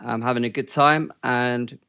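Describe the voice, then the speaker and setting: Male guest, 30s, Kenyan-born Londoner, medium pitch, conversational interview delivery. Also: fairly even intonation, a little slow, sharp tone, clear, resonant tone. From born and raised in Kenya, later moved to London. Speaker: podcast guest, sales development rep. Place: home office.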